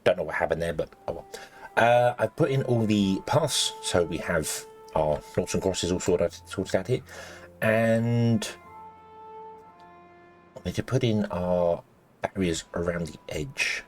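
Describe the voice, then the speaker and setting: A man with a low, slightly croaky voice, rambling through gameplay commentary with plenty of ums and restarts. Soft game music plays under his desk-mic narration. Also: a little slow, pauses often. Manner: rambling, lots of ums and self-corrections. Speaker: let's play host.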